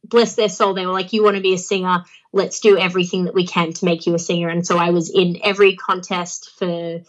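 A woman's voice: lowish voice, flat delivery, a little fast, lo-fi sound. A young Australian woman chatting as an interview guest, with a phlegmy throat, laughing often and using many fillers. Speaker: guest, pro wrestler. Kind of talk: casual interview chat.

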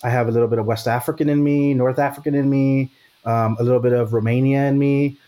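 A man's voice: medium pitch, fairly even intonation, quick pace, warm tone. A man from Texas in casual conversation, talking thoughtfully and checking in with "right?" as he goes. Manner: thoughtful, frequent restarts and "right?".

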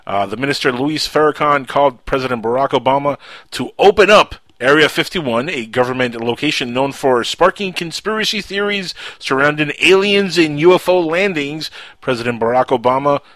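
A man with a Cuban accent hosting a casual radio talk show, animated and quick to raise his voice. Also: fairly high pitch, no room sound. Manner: animated, quick to jump to loud outbursts.

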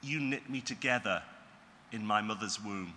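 A man reading Bible passages aloud in a measured, formal way. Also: lowish voice, pushed and bright, in a large hall, slight background noise. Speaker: scripture reader.

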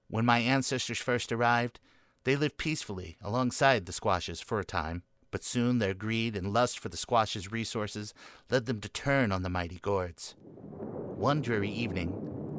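Man playing a low, grandiose gothic boy storyteller. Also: a bit bright. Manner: grandiose, florid, solemn storytelling. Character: morose, melodramatic boy telling a doom-laden family curse tale. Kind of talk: comic gothic radio play acting.

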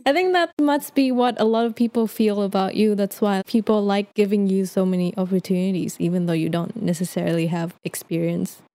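Woman podcast host, friendly, mellow tone, dry close mic. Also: mid-range voice, fairly even intonation, a little fast, hardly any pauses, no echo. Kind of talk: casual interview chat.

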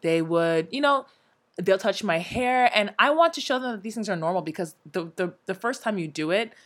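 A woman's voice: medium pitch, normal speed. A young woman who teaches in Korea, chatting casually and acting out her students' and coworkers' lines. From Nigeria and America. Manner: energetic, expressive storyteller, acts out quotes. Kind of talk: casual chat.

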